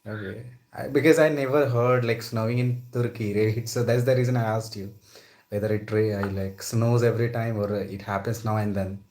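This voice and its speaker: A young Indian man with an Indian accent speaks in a somewhat low voice and keeps the chat easy and friendly. He often fills his sentences with "like" and tags them with "right?". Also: fairly even intonation, a little fast, a little mellow. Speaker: conversation partner. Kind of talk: casual conversation practice.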